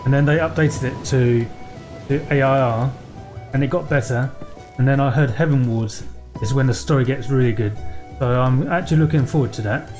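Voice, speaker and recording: A man hosting a let's play, chatting casually in a halting, unscripted way. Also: medium pitch, a little mellow, faint music behind. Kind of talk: casual gameplay commentary.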